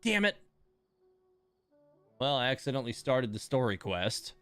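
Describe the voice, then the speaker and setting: A man livestreaming a game, musing aloud in a wry, halting, casual way. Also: mid-range voice, very animated, a bit bright, clean tone, soft background music. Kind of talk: casual gaming stream commentary.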